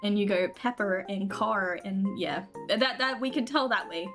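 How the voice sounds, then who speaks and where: A woman's voice: mid-range voice, unhurried, music in the background. A woman with an Australian accent chatting casually with her viewers while streaming a game, laughing often.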